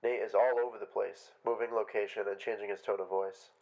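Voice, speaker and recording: A man's voice: lowish voice, fairly even intonation, fairly quick, creaky, a little mellow, poor audio. A man reading stage directions as scripted narration for a play.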